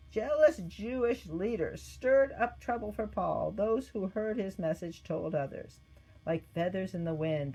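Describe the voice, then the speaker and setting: Female storybook narrator: lowish voice, soft-edged and a little husky, expressive scripted read. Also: low-quality recording. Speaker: storybook reader.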